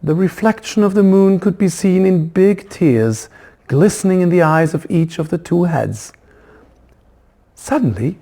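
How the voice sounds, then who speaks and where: A man narrates a children's story with very animated, swooping intonation. His voice is on the high side for a man, and he reads expressively, as an author presenting his own book. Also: vocal fry.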